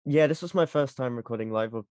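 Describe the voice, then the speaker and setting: A man's voice: medium pitch, fast talker, a little mellow, clean tone, dry recording, clean studio sound. A man chatting casually as a podcast guest, easygoing and a little hesitant. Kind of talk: casual chat.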